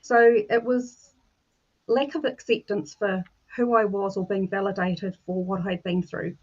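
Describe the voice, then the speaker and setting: A woman from New Zealand, a life coach, telling her personal story in a measured way over a video call. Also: mid-range voice, fairly even intonation, darkish tone, slightly rough, highs cut off.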